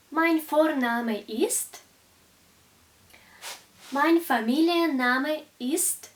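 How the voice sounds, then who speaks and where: Slow high-voiced teacher. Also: female voice, recorded from a distance. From Russia. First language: Russian. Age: 20s. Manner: slow, carefully articulated teaching delivery. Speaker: German teacher. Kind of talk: beginner language lesson.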